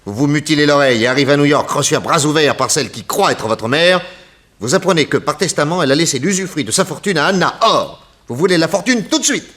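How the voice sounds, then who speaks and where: A man's voice: fairly high pitch, animated, fast talker, a bit bright. A man playing a confident detective in a 1960s French radio drama, speaking in a theatrical, emphatic, methodical way. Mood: relentless exposition. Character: confident male detective laying out an accusation.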